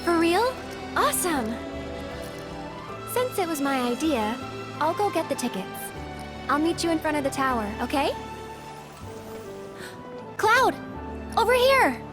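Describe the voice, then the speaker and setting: A perky young game heroine, high voice, kinda teasing and forward, music playing behind her. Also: sing-song, measured pace, pauses often. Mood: upbeat, eager. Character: bright, playful, forward young woman companion. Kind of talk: video game voice acting.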